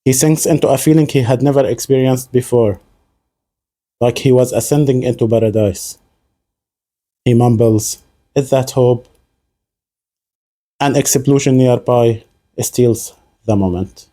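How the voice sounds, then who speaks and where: A man reciting a spoken-word poem in a quiet, subdued, soft-spoken way. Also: mid-range voice, steady tone, measured pace, pauses often.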